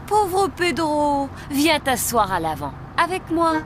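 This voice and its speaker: A woman's voice: high voice, big pitch swings, takes it slowly, a little hiss or hum, heavily acted. A woman voicing a kindly gazelle schoolteacher in a children's cartoon, speaking patiently and in a singsong way. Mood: caring. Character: kindly adult female gazelle schoolteacher leading young children. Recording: loud music behind the voice.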